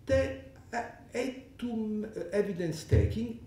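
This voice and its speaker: Italian man with a lively, halting delivery. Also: high voice, a little hiss or hum. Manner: halting, many restarts and fillers. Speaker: panel speaker on law. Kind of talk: academic conference talk. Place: lecture hall. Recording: echoey hall, distant mic.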